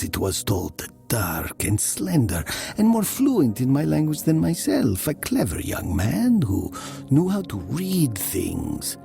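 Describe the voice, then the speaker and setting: A man playing a brooding narrator recalling his youth in a dramatic story, slow and deliberate, dropping into hushed breathy tones. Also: medium pitch, heavily acted. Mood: measured, somber.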